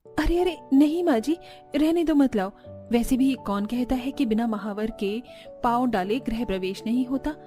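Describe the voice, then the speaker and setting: A woman's voice: on the high side, moderate pace, warm tone, faint music behind, natural voice. A woman voicing a responsible, polite young elder sister and new bride in a cartoon story. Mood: helpful, polite. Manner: polite, eager to help. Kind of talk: Hindi cartoon story acting.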